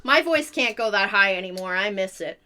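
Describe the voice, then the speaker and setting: A woman's voice: mid-range voice, animated, a little fast, sharp tone. A young adult woman chatting casually as a live streamer, explaining things in a rambling way. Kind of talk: casual chat.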